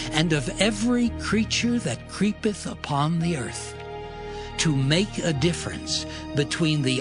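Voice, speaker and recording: A man plays a solemn male deity laying down holy law in an audio scripture drama. The delivery is very slow, measured and declamatory, at a slightly raised male pitch. Music plays clearly behind the voice.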